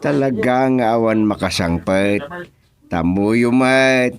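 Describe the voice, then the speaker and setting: A man's voice: on the low side, slow pace. A man playing an authoritative, practical village chairman running a meeting in a radio drama comedy. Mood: businesslike.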